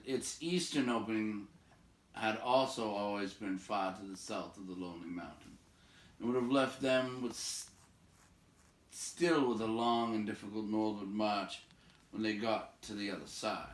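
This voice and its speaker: A man reading a storybook aloud as host, with casual commentary along the way. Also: lowish voice. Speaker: read-aloud host and reader. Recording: roomy, echoing home recording.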